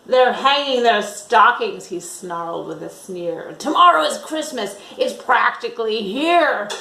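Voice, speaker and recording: A woman reading a picture book aloud to children, expressively drawing out words and engaging her young listeners. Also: mid-range voice. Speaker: children's storyteller. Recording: roomy echo.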